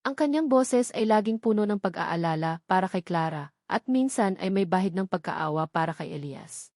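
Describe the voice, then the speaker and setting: A woman's voice: lowish voice, lively intonation, moderate pace, a little mellow, dry recording, clean studio sound. A woman storyteller reading a scripted romantic drama in a dramatic narrating style.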